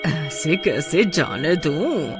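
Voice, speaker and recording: A woman voicing a vengeful, gloating witch in a cartoon horror story, with a menacing, drawn-out delivery. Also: low-pitched, expressive, full character voice. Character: vengeful, gloating female witch guarding her mango tree. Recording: music playing behind the voice.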